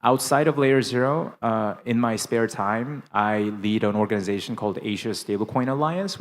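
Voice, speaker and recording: Male panelist with a lowish voice, smooth business pitch peppered with ums. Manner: fluent business talk with frequent fillers. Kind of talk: conference panel introduction. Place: conference hall panel.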